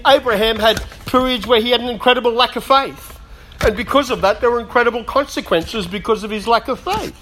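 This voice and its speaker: A middle-aged man preaching a sermon in a conversational way, with humorous asides, slightly hoarse as he is losing his voice. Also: very high-pitched, fairly bright tone, slight background noise. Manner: conversational, self-deprecating humour, frequent asides. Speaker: pastor.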